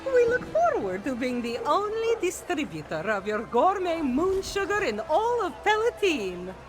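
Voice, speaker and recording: Woman as a very high-pitched cat-folk merchant. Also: huge pitch swings, moderate pace, heavily acted. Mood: pleased. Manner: formal, speaks in third person. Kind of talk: scripted game dialogue, AI-voiced.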